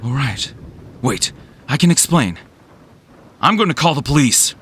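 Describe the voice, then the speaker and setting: A man's voice: mid-range voice, sing-song, unhurried, a bit bright, slightly rough, exaggerated voice. A man acting in a thriller audio drama as a wary, sardonic young man who narrates his own story. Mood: shocked, angry.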